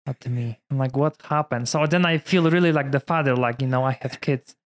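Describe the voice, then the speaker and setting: A Polish-accented priest chats about his life in easygoing English. He talks with plenty of fillers and restarts, at a middle pitch for a man. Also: brisk. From Poland. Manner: chatty, many fillers like "you know". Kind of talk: casual conversation.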